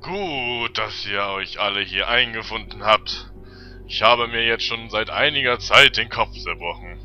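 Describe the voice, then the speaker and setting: Earnest, low-voiced expedition leader, acted by a man. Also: measured pace, close to an everyday voice. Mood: concerned, earnest. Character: thoughtful, caring Norse-like expedition leader. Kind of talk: fantasy audio drama acting. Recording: dull lo-fi sound, music underneath.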